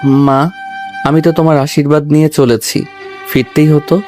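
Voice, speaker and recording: An actor playing a proud, resolute young husband and son in a dramatized audio story, earnest and measured. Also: male voice, fairly high pitch, flat delivery, a little mellow, lightly acted. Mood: tender. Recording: background music under the voice.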